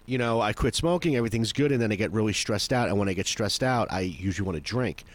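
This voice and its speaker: A man's voice: fairly low pitch, animated, quick pace. A man in his forties in a casual, rambling chat, swearing freely and acting out what others said. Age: 40s. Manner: rambling, profane, acts out quoted voices.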